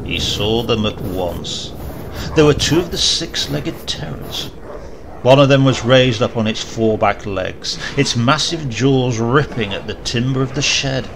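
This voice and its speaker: Man reading a tale over loud hiss. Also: medium pitch, unhurried, creaky. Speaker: storyteller. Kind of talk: dramatic reading of a sci-fi horror story. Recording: loud steady hiss behind the voice.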